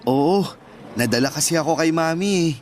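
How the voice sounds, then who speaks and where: Man acting as a polite, higher-voiced young Filipino. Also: lively intonation, normal speed, darkish tone, natural voice. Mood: sincere. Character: polite, earnest young man, gentle devoted son. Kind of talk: Filipino radio drama acting.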